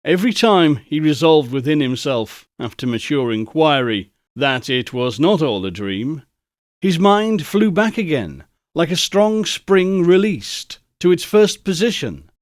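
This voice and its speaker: A man narrating a classic tale as a dramatic storyteller, with theatrical, deliberate and emphatic phrasing. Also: on the high side, sing-song, no echo, clean studio sound. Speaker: audiobook narrator.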